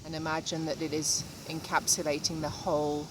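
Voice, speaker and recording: Even-toned woman guiding meditation, noisy background. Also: low voice. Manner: gentle measured delivery with frequent pauses. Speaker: meditation guide. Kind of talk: guided meditation.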